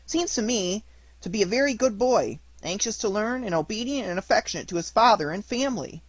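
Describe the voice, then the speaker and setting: A man voicing an eager, fibbing young wooden puppet boy in a storybook, earnest and excitable. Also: sits high, brisk, no room sound, pitched above the performer's own voice, poor audio. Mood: boastful.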